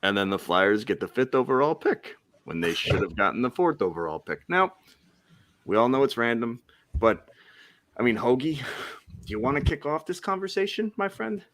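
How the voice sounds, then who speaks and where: A man's voice: medium pitch, very animated, normal speed, a bit bright. A man hosting a casual sports podcast, joking and teasing his co-hosts as he steers the talk. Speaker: podcast host.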